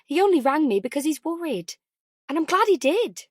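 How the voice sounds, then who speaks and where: An affectionate older mother in an audiobook, acted by a woman, chatty and full of anxious fondness. Her voice sits high, and the sound is close and dry. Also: sing-song, brisk, no room sound. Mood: defensive. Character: cheery, doting, anxious older mother on the phone. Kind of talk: audiobook character dialogue.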